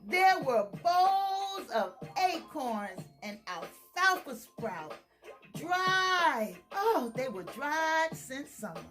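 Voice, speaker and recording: Female children's storytime reader, very high register, extremely unhurried, expressive and direct to kids. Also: soft background music. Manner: expressive, talks directly to young listeners. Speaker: storytime host and picture book reader. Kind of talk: children's read-aloud storytime.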